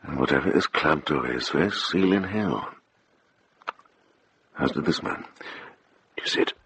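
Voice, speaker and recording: An actor playing a shrewd older monk who investigates, in a gravelly voice, speaking with measured, probing authority in a radio drama. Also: male voice, very deep voice, expressive, fairly bright tone, full character voice. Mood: intent, hushed.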